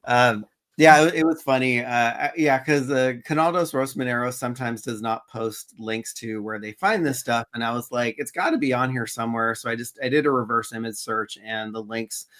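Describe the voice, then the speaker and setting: A man co-hosts a casual toy news chat in an easygoing, chatty way. His voice sits at a middle pitch. He laughs along with his co-host. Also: steady tone, normal speed, fairly bright tone.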